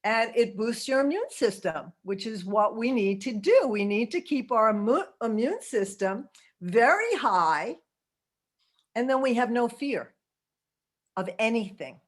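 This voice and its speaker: A woman's voice: a little high, very animated, unhurried. A woman in her seventies giving a holistic health talk over a video call, chatty, laughing often and tossing in asides like "okay?". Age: 70s.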